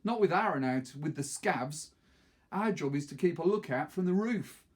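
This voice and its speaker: A man's voice: a little high, expressive, normal speed, slightly rough, pitched above the performer's own voice. A man voicing a cautious, argumentative teenage boy in a storybook, indignant and defensive.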